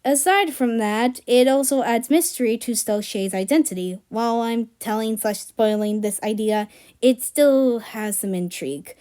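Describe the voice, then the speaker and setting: A woman's voice: fairly high pitch, normal speed, dark tone, clean tone. A woman narrating a scripted video as a fan writer presenting a personal project.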